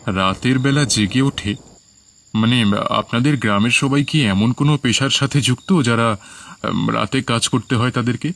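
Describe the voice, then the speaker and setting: A man's voice: mid-range voice, normal speed, gravelly, a bit bright. A man voicing a puzzled, hesitant lost traveller in a horror cartoon. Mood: puzzled, uneasy. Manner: questioning, hesitant.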